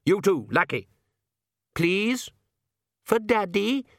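An excitable vampire count of a father, acted out by a male storyteller, grand and pompous in his speech. He coaxes and persuades like a proud reformer in a comic fantasy storybook, recorded very dry. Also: high-pitched, slow pace, no echo, voice pushed up, full character voice. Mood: earnest coaxing.

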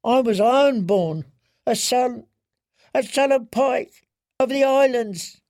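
A man's voice: very high-pitched, takes it slowly, darkish tone, no echo, studio quality, voice pushed up, heavily acted. A man voicing a broken, haunted young man who pleads in a tearful, trembling way. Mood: pleading. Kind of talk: audiobook character voice.

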